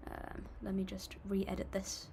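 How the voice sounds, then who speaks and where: A woman's voice: mid-range voice, monotone, measured pace, gravelly, dark tone, slight background noise. A young woman hosting a casual livestream, chatting and reading viewer comments aloud in an upbeat, apologetic way. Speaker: livestream music reactor.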